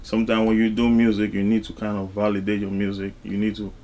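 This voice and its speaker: A man from Cameroon, talking casually as an interview guest in a halting way, with frequent asides. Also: fairly low pitch, completely flat delivery, a little mellow, slight background noise. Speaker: interview guest, music artist and label executive.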